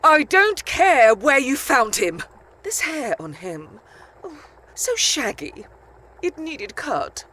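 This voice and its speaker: A woman's voice: high-pitched, very animated, slow pace, bright, forceful tone, slight background noise, exaggerated voice. A woman playing a fierce, protective dusk elf vampire in an audio drama, bickering and doting theatrically. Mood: doting, defiant.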